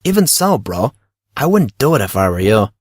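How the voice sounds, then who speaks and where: A man's voice: fairly low pitch, big pitch swings, normal speed, darkish tone, dry recording, studio quality. A man playing a cocky, breezy teenage schoolboy who talks in slangy, self-assured teasing for an audio drama. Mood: placating. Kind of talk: audio drama character reading.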